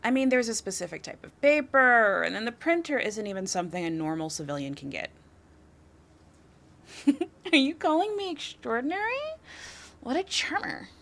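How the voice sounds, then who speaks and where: Woman playing a smug flirty lady crook in an audio roleplay, kinda high voice, swoopy and teasing. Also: big pitch swings, creaky, a bit bright, heavily acted. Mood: amused, defiant. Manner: teasing, confident, coy, drawn-out delivery.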